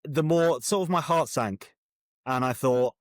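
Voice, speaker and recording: A man's voice: mid-range voice, measured pace, no echo, studio quality. A British man talking casually as a guest author, wry, often hesitating and correcting himself mid-thought. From Birmingham, England. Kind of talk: casual interview conversation.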